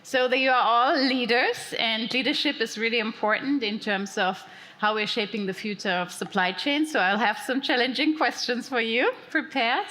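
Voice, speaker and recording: A woman moderating a conference panel, upbeat and brisk as she introduces the speakers and asks questions. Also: fairly high pitch, bright, forceful tone. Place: conference hall. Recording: hall reverb.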